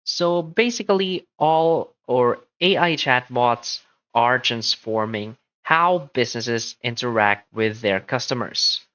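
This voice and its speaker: A man presents a scripted explainer in a bright, forward voice. He reads slowly, in short, carefully enunciated phrases. The sound is close and dry, with no room around it. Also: mid-range voice, lively intonation, no echo, muffled recording. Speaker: presenter of a software comparison tutorial.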